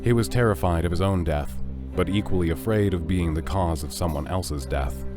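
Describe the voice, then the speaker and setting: A man narrates a fantasy audio drama from a script. His voice is very low. Music plays clearly underneath the narration. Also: normal speed.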